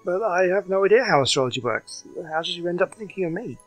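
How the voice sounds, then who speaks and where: A man's voice: high voice, animated, quick pace, fairly bright tone, faint music behind, poor audio. A man hosting a gaming video with casual, rambling, hesitant commentary.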